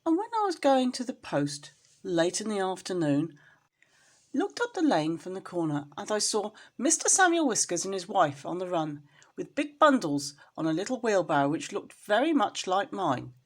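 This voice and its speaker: A woman's voice: mid-range voice, very animated, moderate pace. A woman storyteller reading a children's storybook expressively, as if to a young listener.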